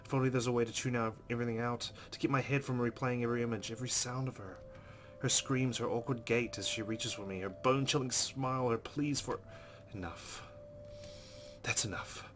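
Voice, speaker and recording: A man's voice: mid-range voice, steady tone, fairly quick, background noise. A man doing a let's play, reading game narration aloud expressively and adding casual, informal asides. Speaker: let's player. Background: game music.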